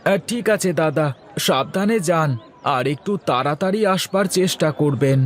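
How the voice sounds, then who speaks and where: A man's voice: a little high, moderate pace, some chatter behind, natural voice. A man voicing a humble, grateful and eager younger employee in a cartoon. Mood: eager, deferential. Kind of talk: Bengali cartoon dialogue.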